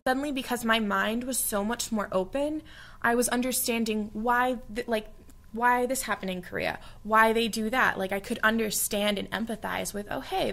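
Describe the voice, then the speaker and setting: A young woman from the United States speaks in a mid-pitched voice with a noticeable gravelly fry. She answers interview questions in an earnest, heartfelt way, often pausing on fillers as she reflects. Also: fairly bright tone. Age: young adult. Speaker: study abroad alumna.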